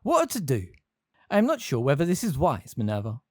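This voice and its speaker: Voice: mid-range voice, huge pitch swings, fairly quick, a little mellow, no room sound, clean studio sound. A reader voicing a portly older male teacher who puffs and frets anxiously. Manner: puffing, anxious, pompous.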